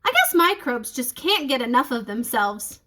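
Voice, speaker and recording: Lady reading as curious jokey school kid boy in a storybook, high bouncy voice, asks lots of questions. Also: sing-song, moderate pace, bright, forceful tone, full character voice. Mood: joking. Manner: inquisitive. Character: curious, joking schoolboy asking science questions.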